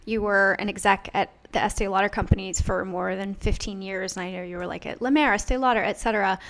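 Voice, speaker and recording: A woman's voice: mid-range voice, steady tone, fast talker, fairly bright tone. A woman hosts a podcast, interviewing casually in an upbeat, gushing and informal way. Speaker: podcast host.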